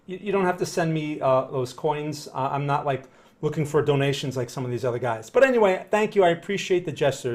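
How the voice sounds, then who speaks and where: A man in his thirties with a New Jersey accent, hosting a live market-analysis stream from a small room, talking in a loose conversational way and often checking in with "right?". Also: fairly high pitch. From northern New Jersey, USA. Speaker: market analyst livestream host. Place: small room in front of a blue backdrop.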